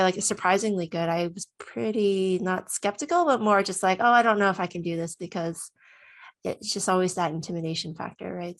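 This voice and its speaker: A woman in her thirties speaks casually, as if recording a video testimonial. Her voice sits at a medium pitch. She is chatty and expressive, putting her own thoughts into words as she goes. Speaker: student giving a testimonial.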